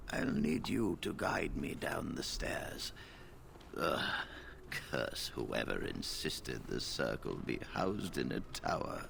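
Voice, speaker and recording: Brief: elderly mage leader, weary and dignified, very deep, slow and gravelly, with music underneath. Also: male voice, big pitch swings, noisy background, heavily acted. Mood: grumbling. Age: older. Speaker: video game character. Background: game music.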